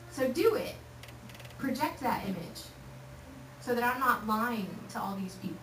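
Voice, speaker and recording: A woman from Texas giving a lively, joking talk to a youth group, often acting out voices. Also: fairly high pitch. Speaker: youth speaker. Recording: echoey hall, picked up from far off, noisy.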